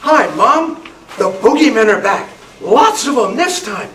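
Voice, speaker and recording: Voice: medium pitch, lively intonation, unhurried, a bit bright. A performer voicing an imaginative, stubborn little boy in a live spooky radio play reading, with a whiny, dramatic delivery. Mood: excited, insistent. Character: little boy Ira, excitable, stubborn, imaginative, fearful of boogeymen. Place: club meeting room, live reading. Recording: roomy distant microphone, noisy meeting room.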